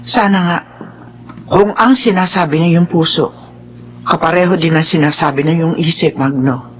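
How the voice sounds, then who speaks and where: Actress as a gentle, forgiving Filipina wife. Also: female voice, low voice, normal speed, a little hiss or hum, natural voice. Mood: encouraging. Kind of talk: Filipino radio drama acting.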